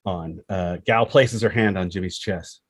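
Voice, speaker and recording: Low, husky man narrating a screenplay. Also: a little slow, dry recording, clean studio sound. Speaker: stage-direction narrator.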